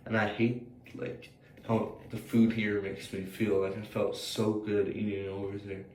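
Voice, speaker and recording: Young American male vlogger, slightly scratchy and low, hesitant unscripted rambling, recorded roomy and away from the mic. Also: flat delivery, a little slow, darkish tone. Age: young adult. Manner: rambling, hesitant, thinking aloud. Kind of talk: casual, unscripted personal reflection. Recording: roomy, distant mic, faint noise.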